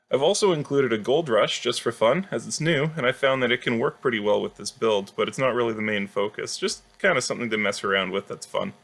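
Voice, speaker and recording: A man's voice: medium pitch, fairly bright tone. A man giving casual, explanatory commentary on a video game build as a gaming video creator.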